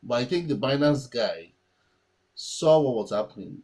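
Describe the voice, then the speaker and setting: A man's voice: mid-range voice, animated, normal speed, a little mellow, breathy edge. A man whose first language is Yoruba, giving casual market commentary and thinking aloud with many restarts and fillers.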